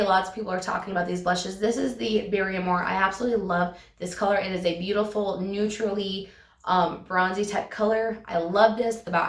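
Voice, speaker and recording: A woman vlogger reviewing her makeup, hoarse and a little raspy from a cold, talking in an enthusiastic, gushing way and slowing down on the words she loves. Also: mid-range voice, fairly bright tone, distant mic. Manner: enthusiastic, gushing, slows down to stress favorite words. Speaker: beauty vlogger. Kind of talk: casual product review. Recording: echoey room, voice a bit off-mic.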